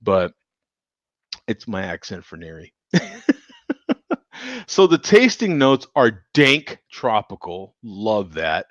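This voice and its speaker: Male beer-show host, low lively voice, slow tipsy rambling, dull dry home mic. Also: dry recording. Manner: rambling, laughs often, talks to chat viewers. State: tipsy from drinking. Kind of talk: casual live-stream chat.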